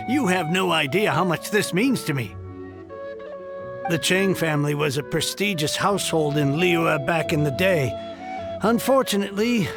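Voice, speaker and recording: An earnest heir of a fallen house for a video game. The voice is high for a man, formal and effusive, over clear background music. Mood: grateful. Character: earnest, grateful heir of a fallen noble family. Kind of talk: video game character acting.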